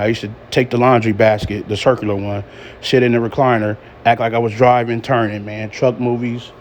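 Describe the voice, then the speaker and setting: A man in his thirties chatting casually and plainly as he drives a truck, like a vlogger on the road. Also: fairly low pitch. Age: 30s. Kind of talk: casual chat while driving. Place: inside a truck cab on the road. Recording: steady road and engine noise. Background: truck cab driving noise.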